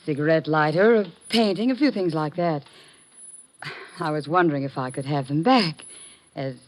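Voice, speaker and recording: A woman's voice: sits low, normal speed, lightly acted. An actress playing an earnest, anxious young woman in a melodramatic 1950s-style radio drama. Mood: subdued, hesitant.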